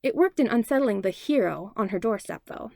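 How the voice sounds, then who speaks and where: A woman narrating a fanfiction audiobook in an expressive read-aloud manner on a close mic. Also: mid-range voice, fairly quick, creaky, no room sound. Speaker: fanfiction podfic reader. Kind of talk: storybook narration.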